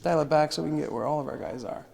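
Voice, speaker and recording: A man's voice: fairly high pitch, rapid-fire, vocal fry, crowd noise. A man casually teaching a tabletop miniatures game in an informal, enthusiastic, slangy way. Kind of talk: casual explanation during gameplay.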